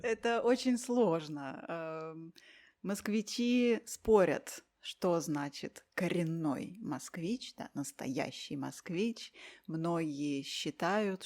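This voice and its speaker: A woman from Russia talking as a guest in a conversation for learners, speaking unhurriedly and clearly with soft thoughtful fillers and pauses. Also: fairly low pitch.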